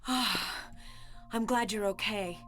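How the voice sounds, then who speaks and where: An actress playing a steady, protective policewoman in a horror video game, speaking in a deliberate, matter-of-fact, stilted way. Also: medium pitch. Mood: concerned. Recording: game audio under loud music.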